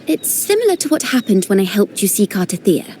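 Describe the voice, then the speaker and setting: Mellow, wry young storyteller voiced by a woman, light noise behind. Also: mid-range voice, sing-song, brisk, lightly acted. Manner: wry, conversational recounting. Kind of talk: video game voice acting.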